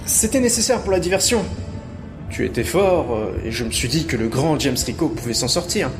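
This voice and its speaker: A man's voice: mid-range voice, animated, moderate pace, natural voice. A man playing a small, cunning young thief, hesitant and cheeky, in an audio adventure drama. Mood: nervous, pleading. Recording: loud music behind the voice.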